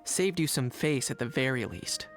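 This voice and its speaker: An actor playing a cool, unsentimental young man in a game, speaking in a dry, measured, deadpan way. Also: mid-range voice, close to an everyday voice. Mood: dry mockery. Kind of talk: game voice acting. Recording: music behind the voice.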